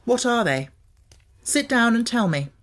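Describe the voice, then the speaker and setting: A man's voice: very high voice, sing-song, unhurried, fairly bright tone, pitched above the performer's own voice, full character voice. A man voicing a spoiled, sickly rich young boy in an audiobook, haughty and commanding. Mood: curious, bossy.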